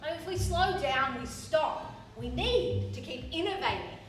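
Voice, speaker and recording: A woman's voice: high-pitched, expressive, unhurried. A woman playing a driven, stubborn young woman who runs a garage, emphatic and self-assured, in a stage radio play. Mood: determined, proud. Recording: echoey theatre, distant mic, music underneath.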